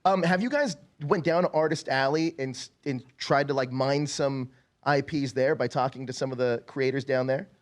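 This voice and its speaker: A man's voice: medium pitch, moderate pace. A man conducting a casual interview, asking questions in a conversational way.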